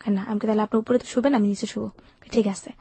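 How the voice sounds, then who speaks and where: A woman's voice: medium pitch, fairly even intonation, rapid-fire, dark tone, slightly rough, no room sound. A young woman with a Bangladeshi accent tells her life story candidly and colloquially, as an interview guest, often quoting what people said. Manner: colloquial, recounts events with quoted dialogue. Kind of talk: candid personal storytelling in conversation.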